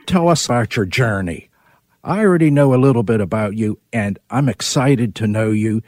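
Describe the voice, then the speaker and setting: A man's voice: medium pitch, animated, moderate pace, a little mellow, no echo, studio quality. A man hosting a casual interview, chatty and chuckling as he introduces and questions his guest. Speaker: host.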